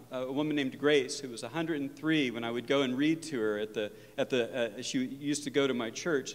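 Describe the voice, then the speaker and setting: Man lecturing in a reverberant hall. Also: mid-range voice. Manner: earnest, reflective, personal storytelling. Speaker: professor of religion giving a lecture. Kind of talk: university lecture.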